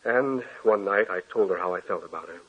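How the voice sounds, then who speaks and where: A man's voice: sits low, fairly quick, a bit bright, slightly rough. An actor in a 1940s radio drama playing a guilt-ridden author who narrates how he fell for a woman he now believes he killed. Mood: wistful. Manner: confessional, anguished. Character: guilt-ridden male author haunted by a dead love. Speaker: narrator of his own story. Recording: tinny old radio broadcast.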